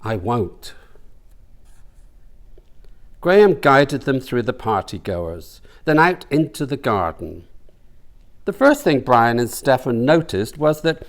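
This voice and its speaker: A man reading comic fiction aloud as the author, with deliberate dramatic pauses. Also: mid-range voice, sing-song. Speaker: author reading from own novel. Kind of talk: comic audiobook-style narration.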